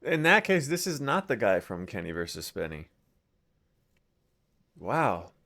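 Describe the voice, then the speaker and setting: A man's voice: on the low side, sing-song, measured pace, creaky, pushed and bright. A man casually commenting over a comedy video, with offhand asides and swearing. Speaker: reaction video host.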